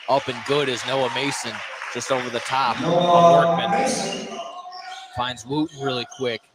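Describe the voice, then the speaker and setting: Man, American accent, basketball play-by-play commentator, medium pitch, live radio call, loud arena noise. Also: fairly even intonation, measured pace. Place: indoor sports gym. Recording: loud gym noise behind. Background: loud game ambience.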